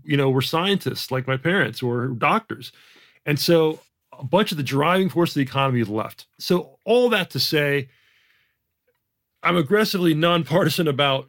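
Man, general American accent, emphatic, repeats words, gravelly, slightly high. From Jamaica. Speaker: economist guest. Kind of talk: podcast interview, explaining.

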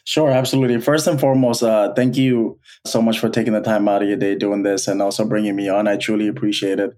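Low, flat-voiced man telling his story. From Bangladesh. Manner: earnest, halting, many fillers and restarts. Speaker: guest, college alumnus. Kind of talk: personal storytelling interview.

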